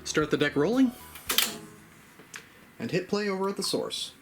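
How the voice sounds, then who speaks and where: Man, casual tutorial host, highly varied intonation, step-by-step explaining, faint music behind. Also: big pitch swings, moderate pace. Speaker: host of an audio gear channel.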